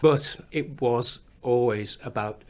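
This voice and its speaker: A songwriter with a slight Liverpool accent and a fairly high voice, chatting in a studio interview and laughing often as he explains how he writes songs. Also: takes it slowly. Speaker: songwriter and musician. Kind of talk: radio interview chat. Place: recording studio.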